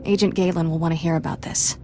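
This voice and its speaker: A woman's voice: low-pitched, steady tone, fast, warm tone, slight background noise. An actress playing a cocky, wisecracking young female agent in a video game, with a wry, breezy manner. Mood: confident. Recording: music playing behind.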